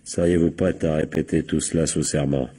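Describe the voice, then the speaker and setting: A man playing a shrewd, ironic police captain who deliberately corners suspects in a French radio crime drama. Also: extremely low pitch, steady tone, warm tone, breathy edge, full character voice. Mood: firm, official. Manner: deliberate, ironic, teasing rhetorical questions.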